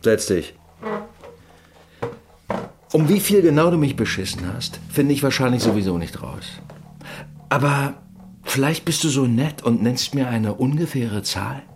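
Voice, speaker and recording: A man acting in a radio play as a gruff, domineering garage boss, curt, sarcastic and threatening. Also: medium pitch, lively intonation, unhurried, long pauses, darkish tone, faint music behind. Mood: menacing, sarcastic.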